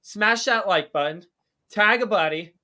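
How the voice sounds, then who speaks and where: A man co-hosting a livestream, reading out announcements in an energetic, salesy way and repeating himself. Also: sits high, a little slow. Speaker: co-host of a car audio livestream.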